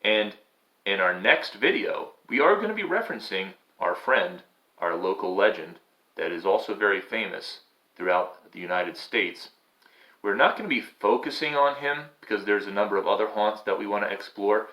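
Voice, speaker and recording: A man's voice: lowish voice, animated, moderate pace, sharp tone, low-quality recording. A man hosting and reading a storybook, moving between casual chat and a theatrical, suspenseful delivery. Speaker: host and storyteller.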